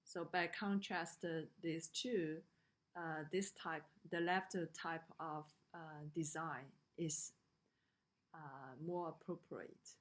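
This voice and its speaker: Female lecturer, Chinese accent, a little low in pitch, very unhurried and halting delivery, talking through slides. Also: steady tone. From China. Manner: halting, with frequent pauses and hesitations. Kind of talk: slide lecture on design usability.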